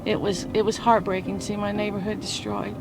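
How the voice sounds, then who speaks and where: Gravelly woman resident, blunt and exasperated. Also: medium pitch, level tone, a little fast, over loud music, rough recording. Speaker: local resident. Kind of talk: interview.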